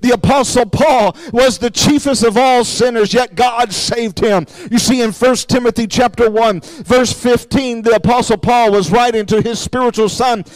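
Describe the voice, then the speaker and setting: A man's voice: very high-pitched, moderate pace, hardly any pauses, dry recording, poor audio. A man preaching an evangelistic sermon, urging listeners directly and emphatically with repeated appeals. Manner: emphatic, exhortatory, repetitive direct address.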